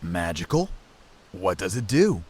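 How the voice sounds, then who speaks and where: Man acting a slow, earnest young fantasy adventurer. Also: low voice, sing-song, darkish tone, slightly rough, exaggerated voice. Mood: curious, reserved. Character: curious young mixed-blood man with oddly shaped ears. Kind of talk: fantasy audio drama acting.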